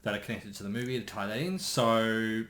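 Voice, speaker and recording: A man's voice: on the low side, fairly quick, fairly bright tone. Man thinking aloud in a roomy space. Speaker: co-host, anime specialist. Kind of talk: casual reaction chat, speculation. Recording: roomy, echoey sound.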